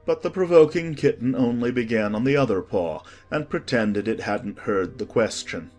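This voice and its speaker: A man's voice: mid-range voice, expressive, moderate pace, darkish tone, faint music behind. A man reading a classic storybook as an audiobook narrator, in a scripted storytelling style.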